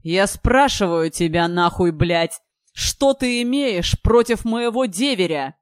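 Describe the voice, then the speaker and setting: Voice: mid-range voice, slow, dry recording, studio quality, close to an everyday voice. Someone doing a slurring, belligerent drunk older tradesman. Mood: drunk, menacing. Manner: belligerent, menacing, repetitive swearing. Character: drunk, aggressive, foul-mouthed older tradesman picking a fight.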